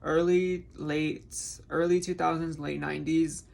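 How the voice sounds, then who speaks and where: A man chatting his way through a makeup tutorial, drifting from one thought to the next. His voice carries a gravelly vocal fry and stays fairly flat in pitch. He speaks at an unhurried, slow pace. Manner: rambling, musing train of thought. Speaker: makeup vlogger. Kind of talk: casual chat during a makeup tutorial.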